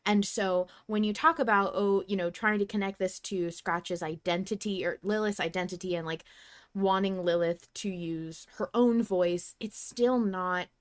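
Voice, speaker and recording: Woman, podcast host, medium pitch, casual discussion, emphatic and analytical delivery. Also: steady tone, moderate pace, dry recording, clean studio sound.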